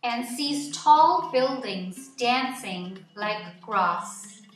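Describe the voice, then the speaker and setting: A woman reading a children's picture book aloud as scripted narration, with measured, deliberate phrasing. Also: on the high side, animated. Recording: roomy echo, distant mic, background music. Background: music.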